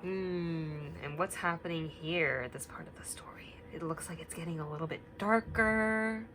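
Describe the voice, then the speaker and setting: A young woman teacher reading a picture book aloud and asking the children gentle questions, sometimes dropping to a whisper. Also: lowish voice, normal speed, noisy background. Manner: drops to a whisper at quiet parts.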